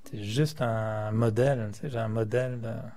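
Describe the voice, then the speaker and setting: A man's voice: lowish voice, steady tone, moderate pace, warm tone. A man from France, a meditation teacher, gives a spiritual talk to a class in a playful, joking way and chuckles often.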